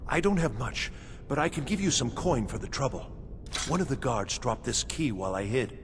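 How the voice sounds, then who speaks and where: A man's voice: mid-range voice, expressive, normal speed, natural voice. A man playing a frightened, desperate farmer in a video game, pleading urgently as he searches for his wife. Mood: grateful, urgent. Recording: long hall reverb, faint background noise.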